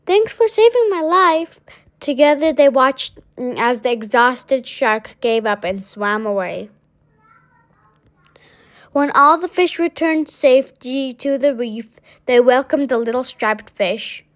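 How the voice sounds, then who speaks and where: A woman with a high voice reads a children's story aloud. Her pace is very slow and halting, with small stumbles as she goes. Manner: halting, stumbling over words. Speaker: storybook reader.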